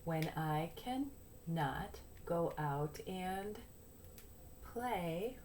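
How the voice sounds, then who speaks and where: A woman teacher giving a lesson and read-aloud for young children, explaining simply and deliberately, step by step. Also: fairly low pitch, expressive, a little mellow, a little hiss or hum. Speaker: early primary school teacher.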